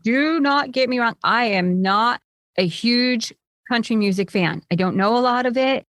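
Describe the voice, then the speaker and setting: Bubbly woman co-host, slightly high voice. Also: a little slow, no echo, clean studio sound. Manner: bubbly, lively, jumps up in pitch. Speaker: podcast co-host. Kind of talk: casual chat.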